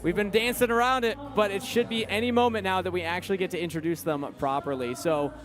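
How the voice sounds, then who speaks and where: A man's voice: high voice, fairly even intonation, quick pace, over music. A man casting a card game tournament, chatty and joking with his co-hosts while they wait for the players. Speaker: esports caster. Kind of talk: live tournament commentary.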